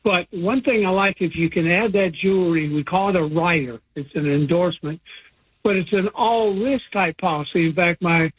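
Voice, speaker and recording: A man's voice: sits high, normal speed, breathy edge, no echo. A man calling in to a radio show as an insurance agent, explaining coverage in an earnest, step-by-step way. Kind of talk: phone-in explanation. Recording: phone line.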